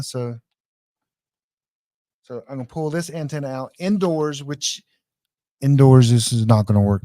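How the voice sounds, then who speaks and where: A man's voice: mid-range voice, dark tone, no echo. A man giving a casual, hands-on live tutorial in a folksy, informal way, speaking straight to his viewers. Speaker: online class presenter demonstrating radio gear. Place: indoors.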